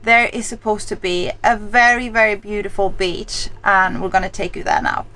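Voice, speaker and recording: A woman's voice: medium pitch, moderate pace. A young Swedish woman, not a native English speaker, hosting a casual travel vlog outdoors by the sea in the wind, chatty and upbeat. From Sweden. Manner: chatty, upbeat, gets excited about views. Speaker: vlogger host. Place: outdoors by the sea, in windy weather.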